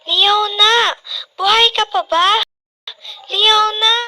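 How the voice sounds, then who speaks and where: A woman playing an excitable young biker friend in an amateur radio drama, with a Filipino accent. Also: very high-pitched, slow, no room sound, heavily acted. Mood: alarmed, calling out. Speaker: student radio drama actor. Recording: loud vehicle noise behind.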